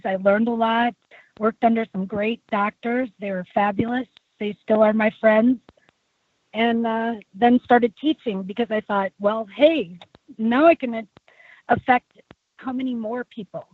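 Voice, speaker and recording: A woman guest telling her story in a casual interview, with a low voice left by a tracheotomy, talking in a chatty way full of fillers and restarts. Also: no echo. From United States. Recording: tinny phone line, dry.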